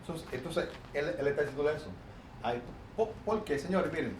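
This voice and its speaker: Animated Dominican man preaching in an echoey room. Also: a little high, fairly quick. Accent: Dominican Spanish. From Dominican Republic. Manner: animated, preachy, restarts often. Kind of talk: informal Bible discussion. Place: New York. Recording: echoey room, faint noise.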